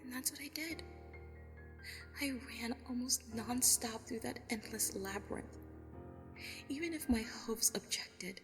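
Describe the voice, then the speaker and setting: A woman playing a traumatized, grief-stricken young female pony recounting horror in a breathy, anguished first-person narration. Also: on the high side, unhurried, pauses often. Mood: desperate, intense. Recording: long reverb, music underneath. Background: music.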